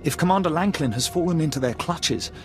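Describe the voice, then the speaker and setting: A man's voice: on the high side, lively intonation, fairly quick, soft background music, lightly acted. An actor playing an anxious male junior officer in a video game, reporting in a formal, deferential way. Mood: formal, worried. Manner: deferential, crisp military reporting. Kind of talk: scripted video game acting.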